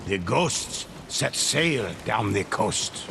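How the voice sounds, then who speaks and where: A man narrating a game story in a measured, solemn way. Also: fairly low pitch, animated, creaky, heavy background noise.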